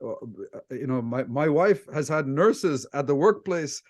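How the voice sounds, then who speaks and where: A man from Egypt hosts a relaxed, chatty interview. His voice sits a little high for a man. He is opinionated and leans on anecdotes to make his points. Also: measured pace. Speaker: host, debate coach interviewing a guest. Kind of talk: casual interview chat.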